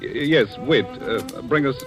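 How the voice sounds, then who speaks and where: A man's voice: mid-range voice, measured pace, muffled recording, close to an everyday voice. A man playing a lovestruck young artist, tender and yearning, with an intimate, earnest manner in 1940s radio style. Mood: wistful, tender. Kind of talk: 1940s radio romantic drama acting. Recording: old radio broadcast with music behind.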